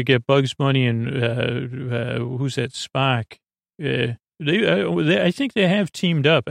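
A man hosting a bedtime sleep podcast in a meandering, halting monologue, interrupting himself with false starts, droning gently and reassuringly. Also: gravelly, dry recording, studio quality. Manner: low-pressure, reassuring, droning on purpose.

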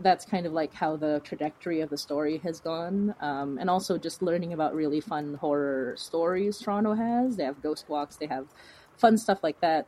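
Woman, slightly low pitch, casual interview guest, animated, giggly, informal rambling delivery. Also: rough recording. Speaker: podcast creator and writer being interviewed.

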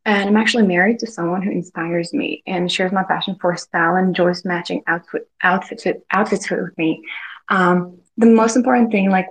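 A woman's voice: fairly low pitch, low-quality recording. A woman from the Czech Republic, a guest fashion designer, speaking earnestly with some hesitation. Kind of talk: casual conversation.

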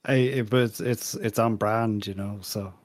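A man with a fairly low voice hosts a casual panel show. He moves between segments in a dry, chatty style, and the sound around his voice is dry with little room. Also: steady tone, moderate pace, dry recording. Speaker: podcast host.

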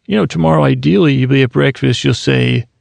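Creaky-voiced man rambling to sleep. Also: medium pitch, brisk, no room sound. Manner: meandering, digressive, self-deprecating. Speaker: sleep podcast host. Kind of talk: rambling bedtime talk.

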